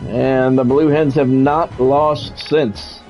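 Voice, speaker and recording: A man calling live play-by-play of a football video game in measured, short clipped announcer phrases. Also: mid-range voice, level tone, a little mellow. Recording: dull lo-fi audio with music behind.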